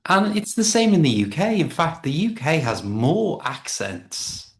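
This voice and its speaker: A man's voice: on the high side, a little slow. A man from Liverpool with a Liverpool accent, an English conversation tutor explaining things in a casual chat. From Liverpool, England. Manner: lively explaining, with accent impressions.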